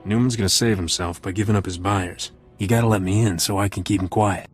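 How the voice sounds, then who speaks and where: An actor playing a roguish, dry and sardonic smuggler captain in a video game. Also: male voice, low voice, fairly even intonation, fairly quick, faint music behind, close to an everyday voice. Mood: cool, pressing.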